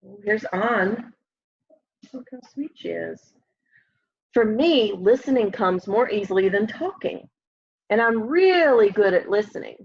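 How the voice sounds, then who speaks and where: A young woman reading a children's picture book aloud in an expressive way, often putting questions to young listeners. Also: medium pitch, a little slow, pauses often, slightly rough. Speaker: storybook reader. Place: room at home, indoors. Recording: dull, lo-fi home webcam audio.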